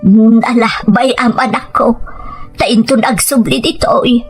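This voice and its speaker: A woman with a Filipino accent playing a patient, consoling older mother in a radio drama, with a husky voice. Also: fairly high pitch, steady tone. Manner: gentle, measured counselling. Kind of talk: Ilocano radio drama acting. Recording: music bed under the voice.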